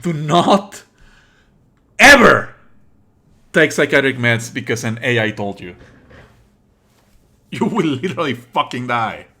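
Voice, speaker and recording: Bright, forceful, exasperated male streamer. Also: mid-range voice, very animated, slow, long pauses. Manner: exasperated, emphatic, sweary. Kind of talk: live let's play commentary.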